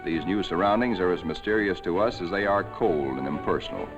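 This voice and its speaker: A man giving scripted narration for a 1940s wartime documentary, in a measured, solemn way, on an old film soundtrack. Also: sits low, dull audio, music in the background.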